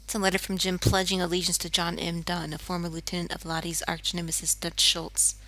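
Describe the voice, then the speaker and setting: An actress voicing a grieving jazz singer who narrates her own story in a quiet, bitter, world-weary way, often sinking to a whisper. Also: lowish voice, poor audio. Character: grieving, haunted woman jazz singer seeking revenge. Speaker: game character. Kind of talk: scripted game voice acting, confessional narration. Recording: faint music underneath.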